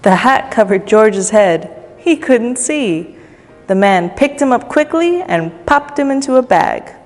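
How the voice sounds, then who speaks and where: A woman's voice: fairly high pitch, a little slow. Woman reading a storybook in echoey reverb. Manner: expressive, with playful asides to young listeners. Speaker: volunteer storybook reader. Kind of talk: children's storytime read-aloud. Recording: long echoey reverb, faint music behind.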